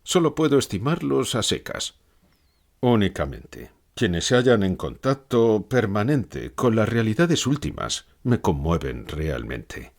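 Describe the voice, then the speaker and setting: A man's voice: lowish voice, sing-song, measured pace, heavily acted. A man playing a gloomy, ironic old philosopher in a dramatized interview, speaking reflectively with sardonic, emphatic phrasing. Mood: solemn, reflective. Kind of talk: dramatized literary interview for an audiobook.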